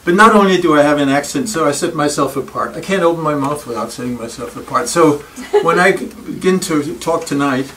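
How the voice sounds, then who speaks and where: A man's voice: fairly high pitch, a bit bright. Older Danish-accented man lecturing in a library. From Denmark. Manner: thoughtful and wry, with pauses and self-deprecating asides. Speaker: speaker giving a library talk. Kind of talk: reflective public lecture. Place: wood-paneled library room. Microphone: far camera. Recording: distant room recording with faint noise.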